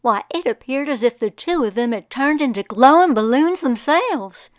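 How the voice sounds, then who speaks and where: A woman plays a folksy farmer looking back on a magical summer in a storybook. The voice is fairly high and smooth, in a close, dry recording. The telling is very slow, expressive and full of wonder. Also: no room sound. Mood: wonderstruck. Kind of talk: storybook first-person narration.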